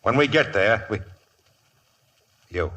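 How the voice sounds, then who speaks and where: An actor playing a hard, menacing outlaw gang boss in a radio Western, gruff, curt and commanding. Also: male voice, fairly low pitch, lively intonation, takes it slowly, bright, forceful tone, heavily acted. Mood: wary, businesslike. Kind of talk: old-time radio Western acting.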